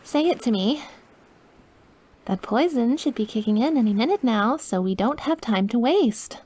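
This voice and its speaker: A woman's voice: a little high, expressive, normal speed, darkish tone, breathy edge. A woman playing an obsessive, lovesick, dying young witch in an intimate ASMR roleplay, with a clingy, pleading manner. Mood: tender, pleading.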